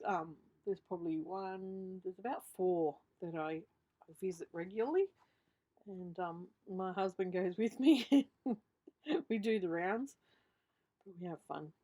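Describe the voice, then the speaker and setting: A woman's voice: lowish voice, a little mellow. A woman in her fifties with an Australian accent and a slight lisp, giving a casual, rambling show-and-tell voiceover as a craft vlogger, often trailing off and correcting herself. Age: 50s. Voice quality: slight lisp from a missing tooth. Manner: chatty, unscripted, often trailing off and self-correcting.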